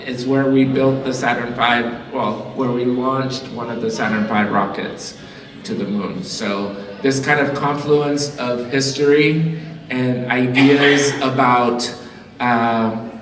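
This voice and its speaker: Artist from Liberia, male, mid-pitched, slow and thoughtful delivery with fillers; distant mic, roomy gallery echo. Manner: slow, halting, many fillers. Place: large echoey gallery indoors. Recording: roomy hall, far from mic.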